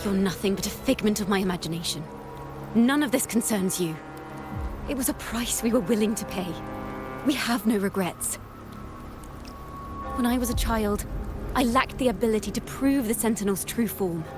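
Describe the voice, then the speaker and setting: A woman's voice: mid-range voice, moderate pace, long pauses, music in the background, close to an everyday voice. An actress playing a proud, defiant young woman bard in a video game, speaking with composure. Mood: resolute. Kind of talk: dramatic video game voice acting.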